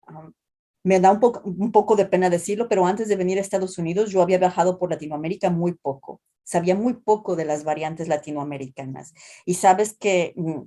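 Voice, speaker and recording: Mexican lady prof chatting about translation, mellow, keeps restarting and tagging "no?". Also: on the low side, moderate pace. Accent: Mexican Spanish. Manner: thoughtful, many restarts and tag questions. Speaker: translation professor, guest. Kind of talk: academic interview talk.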